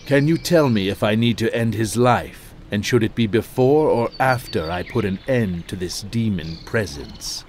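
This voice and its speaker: An actor playing a stern, pious necromancer lord in a fantasy game, with pompous, measured, archaic speech. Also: male voice, on the low side, a little hiss or hum, close to an everyday voice. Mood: grave. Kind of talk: dramatic fantasy game dialogue. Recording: loud music under the voice.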